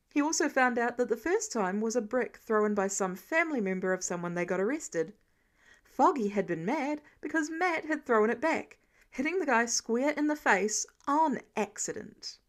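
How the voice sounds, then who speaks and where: A woman narrates a piece of written fiction in a fairly high voice. She reads it as scripted storytelling, like an amateur audiobook.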